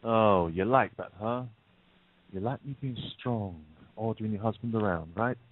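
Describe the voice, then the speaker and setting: A man's voice: on the low side, normal speed, close to an everyday voice. An actor playing a volatile, bullying male kidnapper in an audio play, sneering and threatening. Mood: taunting. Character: menacing, volatile kidnapper, nervous and bullying man. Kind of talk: radio-play style acting. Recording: noisy, tinny phone-like line with clicks.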